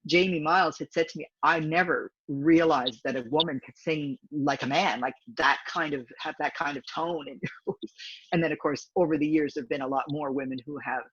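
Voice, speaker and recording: A woman in her fifties, a singer with a low, deep voice, chatting in an interview and rambling with many restarts. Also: steady tone, a little fast, breathy edge, dull audio. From Canada. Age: 50s. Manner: rambling, talkative, frequent restarts. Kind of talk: casual interview chat.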